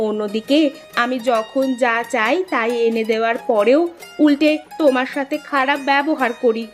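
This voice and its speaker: A woman voicing a proud, sharp-tongued rich village wife in a cartoon, speaking emphatically. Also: high voice, steady tone, moderate pace, faint music behind, lightly acted. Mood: contrite. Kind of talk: Bengali cartoon story dialogue.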